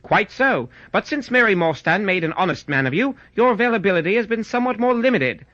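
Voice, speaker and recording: A man voicing a brisk, self-assured Victorian gentleman detective with a pompous, precise manner, in a radio-style story. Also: high voice, lively intonation, no room sound, lo-fi sound, voice pushed up. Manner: pompous, precise, rapid deductions. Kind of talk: audiobook radio-style pastiche.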